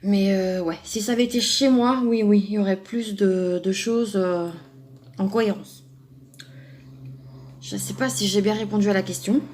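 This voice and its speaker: Young woman from France, craft vlogger, casual and rambling, mid-pitched voice. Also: steady tone, moderate pace, darkish tone. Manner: chatty, rambling, many fillers and restarts. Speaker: craft YouTuber answering a subscriber tag. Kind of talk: casual chat to camera.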